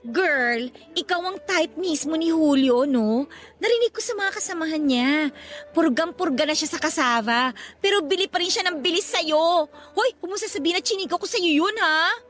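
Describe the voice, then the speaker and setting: A woman's voice: very high voice, moderate pace, a bit bright, faint music behind. A woman playing a brash, teasing young vendor in a Filipino radio drama, with a sassy, gossipy and exclamatory delivery.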